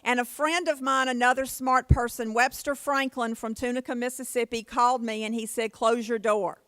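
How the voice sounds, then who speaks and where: A woman's voice: fairly high pitch, steady tone, fairly quick, fairly bright tone, clear, resonant tone, no room sound. A woman giving a motivational conference keynote with personal stories, in a plain-spoken, folksy way. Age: 50s. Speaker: keynote speaker.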